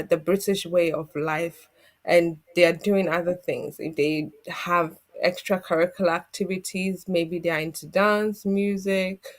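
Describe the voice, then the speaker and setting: Lowish steady woman explaining legal steps. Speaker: immigration solicitor guest. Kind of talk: explaining legal advice on a community TV show.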